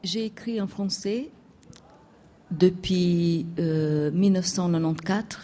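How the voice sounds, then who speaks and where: A woman who grew up in Albania with Albanian as her first language, a writer and academic answering on a discussion panel, halting with many pauses and fillers. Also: lowish voice, steady tone, dark tone.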